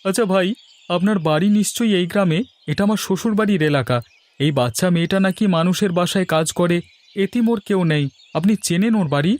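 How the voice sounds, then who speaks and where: A man's voice: sits high, fast talker, darkish tone, no room sound, close to an everyday voice. A man playing a bossy but charitable village family man in a cartoon fairy tale. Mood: concerned.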